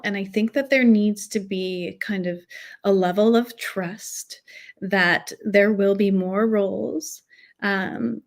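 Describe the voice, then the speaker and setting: A woman with a soft voice chatting casually about acting as a coach, hesitant and full of fillers. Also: mid-range voice. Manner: hesitant, many fillers and restarts. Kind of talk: casual conversational chat.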